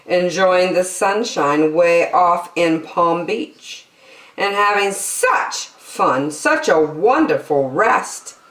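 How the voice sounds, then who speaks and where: A woman's voice: lowish voice, animated, slow, a bit bright, roomy sound, rough recording. A woman storyteller reading a children's picture book expressively.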